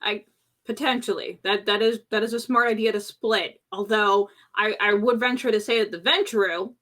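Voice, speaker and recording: A woman's voice: mid-range voice, steady tone, moderate pace, fairly bright tone. A woman chatting through a tabletop role-playing game as a player, casual, slangy and teasing as she improvises.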